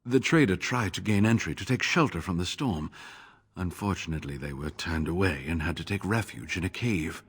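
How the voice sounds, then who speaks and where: A husky, earnest old scholar. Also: male voice, sits low, expressive, fairly bright tone, exaggerated voice. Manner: measured, formal speech. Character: earnest older male scholar, devout and driven. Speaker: game character. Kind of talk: voiced video game dialogue.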